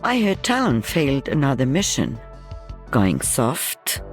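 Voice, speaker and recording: An actress playing a weary, protective elderly mother and veteran sniper in a video game, with a dry, measured manner. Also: very deep voice, wildly swooping pitch, soft background music, exaggerated voice. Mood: dry, cutting. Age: older.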